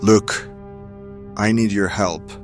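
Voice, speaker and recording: Man playing a very deep, sly turncoat. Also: fairly even intonation, slow pace, faint music behind. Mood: insistent. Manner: cool, formal, archaic turns of phrase. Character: sly, self-serving male ally turned against former masters. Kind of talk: audio drama acting.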